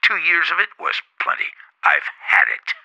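Curt American executive, vintage radio thriller; exasperated, piercing, creaky voice through telephone filter. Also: male voice, a little high, huge pitch swings, moderate pace, sharp tone, dull audio, full character voice. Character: curt, fed-up male boss refusing an employee. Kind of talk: 1950s American radio drama acting.

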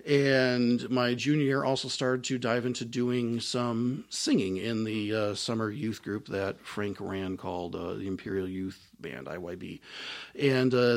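A man, a trumpet player and singer, telling his story in a casual interview with many fillers and restarts. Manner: says he has a higher voice.

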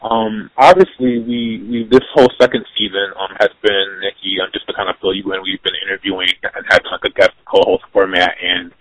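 A man's voice: sits low, steady tone, brisk, hardly any pauses, fairly bright tone, no echo, poor audio. A man hosting a podcast interview, rambling casually with lots of fillers and restarts. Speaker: podcast host.

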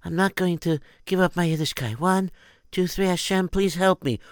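A man's voice: high-pitched, moderate pace, dry recording. Guy doing a young woman escaping, earnest and prayerful, dead dry mic. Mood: determined.

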